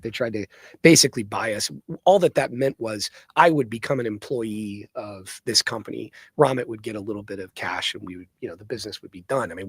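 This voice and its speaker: A man's voice: medium pitch, vocal fry, husky, dry recording. A man telling his business story conversationally as an interview guest, often checking in with the listener. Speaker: entrepreneur guest.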